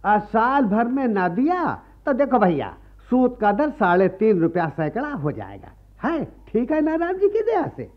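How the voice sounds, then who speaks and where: An actor playing a greedy, wily priest and moneylender in a radio play, sanctimonious and wheedling. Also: male voice, very high-pitched, wildly swooping pitch, a little slow, few pauses, exaggerated voice. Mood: slyly calculating. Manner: sanctimonious, wheedling, repeats a pious catchphrase. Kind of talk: Hindi radio play acting. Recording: muffled archival radio play recording.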